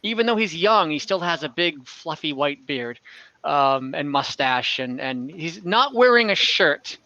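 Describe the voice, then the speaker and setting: A man improvising tabletop game narration as game master, in a halting, hesitant delivery. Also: a little high, sharp tone, no echo, dull audio. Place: dry room, online game session.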